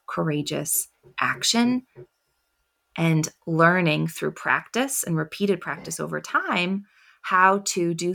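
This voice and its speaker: Soft-spoken woman with a low gravelly voice. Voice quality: soft, quiet voice. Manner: slow, with long pauses between thoughts. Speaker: confidence coach, podcast guest. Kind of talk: reflective interview answers.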